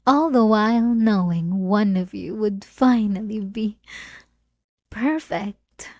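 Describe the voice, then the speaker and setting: A woman voicing an obsessive, possessive centuries-old vampire in an ASMR roleplay, with soft, teasing, intimate delivery. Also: medium pitch, dry recording, studio quality. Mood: possessive, seductive. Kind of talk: ASMR roleplay audio drama.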